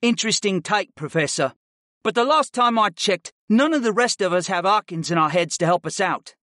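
Casting: arrogant rich boy student man performing, high-pitched, smug drawl, mocking, for fantasy audiobook. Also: lively intonation, normal speed, sharp tone, dry recording, studio quality. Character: cocky, sneering pudgy rich boy student. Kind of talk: audiobook character acting.

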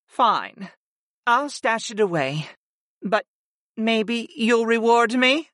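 A narrator putting on a high, yearning succubus servant. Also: slow, fairly bright tone, no room sound, clean studio sound. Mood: reluctant, coy. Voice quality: high, sighing, purring. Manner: submissive, pleading, wounded. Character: devoted, yearning female winged succubus servant.

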